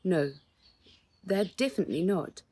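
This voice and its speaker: A woman's voice: on the low side, lively intonation, moderate pace, mellow, husky, voice pushed up. A woman voicing a worried, firm father in a children's story, emphatic and urgent. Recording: noticeable background noise.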